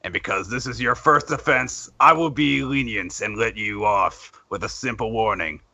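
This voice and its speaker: Man as a gravelly, formal judge. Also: on the low side, moderate pace, pushed and bright, no room sound, highs cut off, voice pushed down, exaggerated voice. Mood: stern, lenient. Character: lenient, formal courtroom judge.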